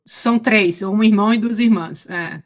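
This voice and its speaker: A middle-aged woman with a Brazilian accent chatting casually as a guest, halting as she searches for words. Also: medium pitch. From Salvador, Brazil. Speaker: podcast guest. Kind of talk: casual autobiographical chat.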